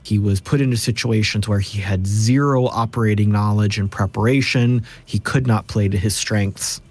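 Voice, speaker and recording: Low-voiced man explaining, reflective. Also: steady tone, normal speed, darkish tone, breathy edge. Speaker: cast member of an actual play series. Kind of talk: reflective recap discussion.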